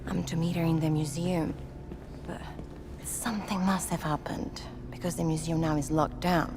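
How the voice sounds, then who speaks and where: A woman playing a sharp, guarded investigative journalist with an Italian accent in a cinematic game, probing and self-assured. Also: low voice, steady tone, normal speed, background noise. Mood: earnest.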